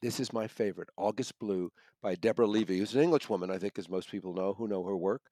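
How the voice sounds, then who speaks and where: Halting American man reviewing books, dry sound. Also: lowish voice, dark tone, no echo. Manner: halting, repeats words as he searches for phrasing. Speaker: book reviewer, regular guest. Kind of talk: unscripted book discussion.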